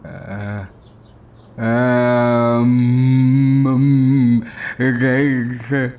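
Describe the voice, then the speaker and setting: A man playing a troubled, guilt-ridden character in a radio drama, halting and hesitant. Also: mid-range voice, level tone, darkish tone. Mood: hesitant, guilty.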